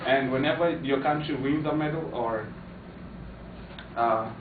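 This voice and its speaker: A man's voice: mid-range voice, measured pace. A man from Kenya giving an informative class speech, hesitant, with many fillers and restarts. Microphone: far camera. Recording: echoey, distant, tinny, noisy.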